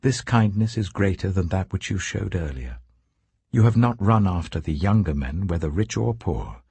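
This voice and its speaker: A dignified landowner of ancient times as a man acts him out in a scripture audiobook. He speaks with gracious, measured authority in a very husky, low voice. The sound is dry and close. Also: lively intonation, a little mellow, no room sound, full character voice. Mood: tender, reassuring.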